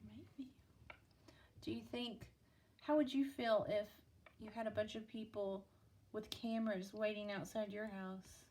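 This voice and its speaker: A woman's voice: mid-range voice, fairly even intonation, unhurried, long pauses, a little mellow. A woman teacher reading a picture book aloud expressively, talking simply as if to a young child. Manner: explains and asks in simple child-directed words.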